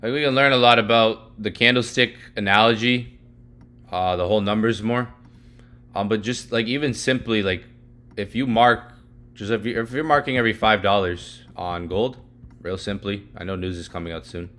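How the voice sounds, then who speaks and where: A young man teaching live, walking through a price chart in casual, rambling talk full of "like" and "literally", his voice going hoarse and his throat needing clearing. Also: fairly low pitch, normal speed, fairly bright tone. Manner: rambling, heavy filler "like" and "literally". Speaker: trading educator.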